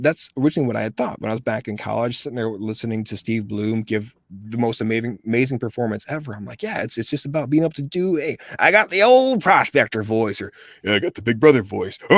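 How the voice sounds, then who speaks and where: Animated storytelling man in his thirties. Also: medium pitch, fast talker, creaky, no room sound, clean studio sound. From USA. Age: 30s. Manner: animated storyteller, acts out quoted voices. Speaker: voice actor guest. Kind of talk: casual interview chat.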